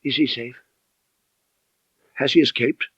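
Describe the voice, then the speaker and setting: A man's voice: medium pitch, fairly even intonation, slow, a bit bright, husky, no echo, muffled recording. A man voicing an anguished, bitter woman, an abused wife, with impassioned melodrama in an audiobook story. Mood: anxious, faint.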